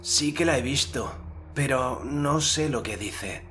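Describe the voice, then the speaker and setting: A man voicing a calm, reserved young hunter in an audiobook reading. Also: medium pitch, a little hiss or hum, pitched above the performer's own voice. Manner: soft, measured, restrained.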